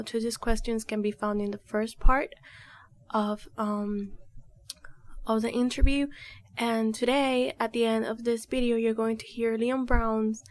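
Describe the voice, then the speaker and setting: A woman's voice: on the high side, darkish tone. A woman hosting an informal cast interview in a casual, chatty style. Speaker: host and audio drama creator. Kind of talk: casual group interview chat.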